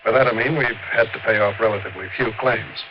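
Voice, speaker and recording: An actor playing a worried, businesslike American insurance manager in a 1950s radio drama, talking in an earnest, explanatory, conversational way. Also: male voice, fairly low pitch, steady tone, fast talker, close to an everyday voice. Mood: earnest, explaining. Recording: dull, slightly noisy 1950s radio recording.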